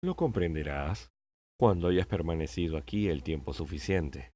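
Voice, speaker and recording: A man playing a wise, patient old king in an audiobook, speaking in a measured, sententious way. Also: rumbling low, animated, mellow. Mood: patient, explaining. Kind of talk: audiobook character acting.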